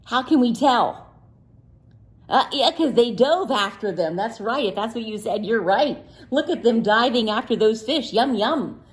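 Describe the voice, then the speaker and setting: A woman teacher reads a picture book to young children, slowly and deliberately, leaving room for their answers. Her voice sits a little high and swoops up and down a lot, playful and sing-song as she quizzes them. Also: animated. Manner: slow and deliberate, pausing for answers. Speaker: teacher reading aloud. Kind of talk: children's read-aloud with questions.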